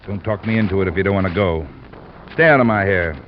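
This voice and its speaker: A suspicious police sergeant played by a man talks low, sardonic and threatening in old radio crime style. The recording is muffled, with faint noise. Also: fast, natural voice. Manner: sardonic, hard-nosed. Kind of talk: 1940s-50s radio drama acting. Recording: muffled, slightly noisy vintage radio recording.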